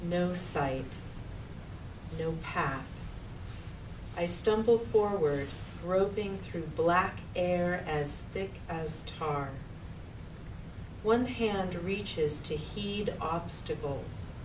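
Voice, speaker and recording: Very slow, lowish-voiced woman reciting poetry. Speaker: poet reading own poem. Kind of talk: poetry recitation at a live reading. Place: public library reading room. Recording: echoey room, distant mic, noisy background.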